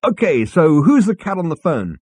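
A brash, vain alien adventurer with two heads, put on by a man reading a story. He pushes his voice way up and throws it around with swagger and slang. The husky tone stays dry and close. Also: fairly high pitch, big pitch swings, normal speed, voice pushed up. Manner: cocky, loud, slangy.

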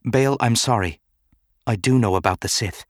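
A man's voice: lowish voice, normal speed, no room sound, clean studio sound, close to an everyday voice. A man voicing an earnest young female senator in a dramatic audiobook, with an urgent, insistent manner. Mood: pleading. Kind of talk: dramatic audiobook character acting.

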